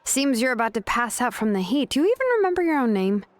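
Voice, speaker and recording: A woman voicing a calm, sensible adult woman in a fantasy video game, talking in a measured, gently wry way. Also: on the high side, faint music behind.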